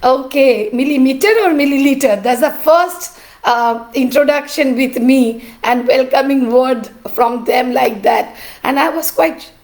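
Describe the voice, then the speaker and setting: A middle-aged woman, an artist and teacher telling her story in a cracked, rough voice, pausing often with "uh". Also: high voice, a little mellow. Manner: halting, with many "uh" pauses. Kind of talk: personal storytelling.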